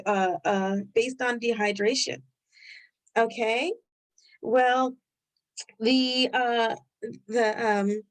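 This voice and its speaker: A woman with a Rhode Island accent hosting a live health webinar, talking loosely and thinking out loud as she goes. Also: a little high, poor audio. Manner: loose, conversational, thinks aloud while reading the chat. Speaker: webinar host.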